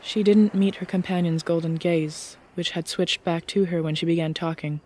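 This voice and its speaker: A woman reading scripted fiction as an audiobook narrator, in a measured storytelling manner. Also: on the low side, fairly even intonation, a little mellow, slight background noise.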